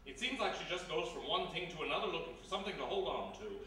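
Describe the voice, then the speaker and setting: A man playing a courteous, wealthy, worried father with a formal, measured manner in a live radio play. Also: a little high, animated, pushed and bright. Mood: troubled. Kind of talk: live old-time radio play acting. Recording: echoey live hall, distant mic, faint noise.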